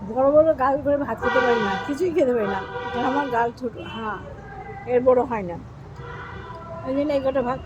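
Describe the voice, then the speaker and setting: A middle-aged woman talks to her viewers in a friendly, easygoing way while she eats. Her voice sits high. Clear background noise runs under the home recording. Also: brisk, pauses often, highs cut off. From Bengal. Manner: chatty, addresses viewers as friends. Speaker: vlogger. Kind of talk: casual eating vlog chat.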